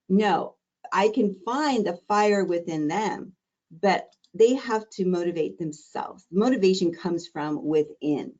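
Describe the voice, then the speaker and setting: A middle-aged woman, a diabetes educator, teaching an exam-prep webinar in a warm, conversational, encouraging way. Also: medium pitch, lo-fi sound. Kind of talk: live online exam-prep lesson.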